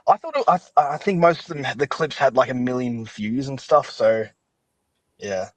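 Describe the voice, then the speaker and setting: A young man hosts a casual podcast conversation. He rambles and reminisces with enthusiasm, starting and restarting thoughts as he goes. His voice is mid-pitched and recorded very dry. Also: lively intonation, moderate pace, no echo. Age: young adult. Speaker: podcast host. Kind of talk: casual chat interview.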